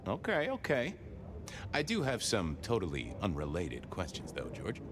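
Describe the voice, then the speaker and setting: An actor playing a wry, inquisitive police detective in a video game, with a glib, sardonic way of talking and music behind him. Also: male voice, sits low, huge pitch swings, moderate pace, noisy background. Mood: wry, probing. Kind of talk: video game dialogue acting.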